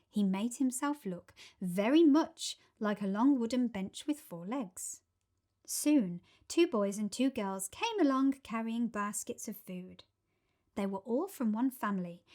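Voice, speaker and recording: High-voiced, unhurried woman storyteller. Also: a little mellow. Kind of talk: expressive children's story narration.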